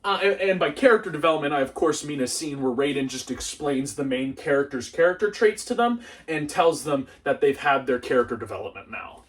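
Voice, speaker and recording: A young man delivering a comedic scripted movie review in an animated, exclamatory, sarcastic way. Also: a little high, fairly quick, few pauses, distant mic. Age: young adult. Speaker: video host, movie reviewer.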